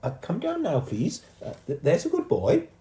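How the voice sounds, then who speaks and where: A man voicing a fussy, flustered mother who stammers in a high, fluttery way. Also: wildly swooping pitch, moderate pace, dark tone.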